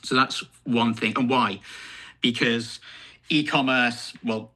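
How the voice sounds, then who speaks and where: A man's voice: mid-range voice, fairly even intonation, slow, pushed and bright. A male company executive giving informal, unscripted answers to analysts on an earnings call.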